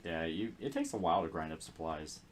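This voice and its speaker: A low-voiced man banters in a relaxed, wry way as co-host of a game stream. A faint hiss of noise sits under his voice. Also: brisk. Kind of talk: casual game stream chat.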